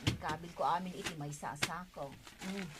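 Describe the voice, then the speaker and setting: A woman's voice: lowish voice, fairly even intonation, moderate pace, heavy background noise, natural voice. A woman with an Ilocano accent playing a complaining village laundrywoman in a comic radio drama. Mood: fretful.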